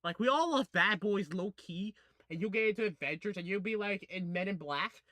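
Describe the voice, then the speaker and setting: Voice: medium pitch, normal speed, a bit bright, dry recording. A gaming video host reading a visual novel aloud and adding slangy, casual commentary, stumbling over words now and then. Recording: music playing behind the voice. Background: music.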